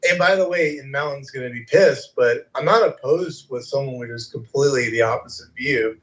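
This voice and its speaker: A man's voice: mid-range voice, a little fast, poor audio. A man hosting a live audio chat room, talking loosely and rambling with many fillers. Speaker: room host and moderator.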